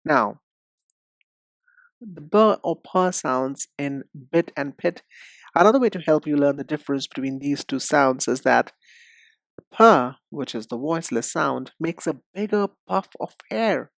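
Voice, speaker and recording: A man teaching English pronunciation, explaining step by step in a deliberate, didactic way and speaking directly to the learner. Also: on the high side, lively intonation, vocal fry, a bit bright, no echo. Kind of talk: instructional lesson with demonstrations.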